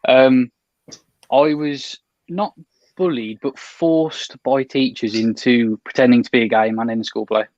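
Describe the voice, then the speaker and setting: A man's voice: medium pitch, fairly even intonation, a little slow, a little mellow, no room sound, muffled recording. A young man telling a story on a video call, often stopping and restarting as he goes. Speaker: podcast guest.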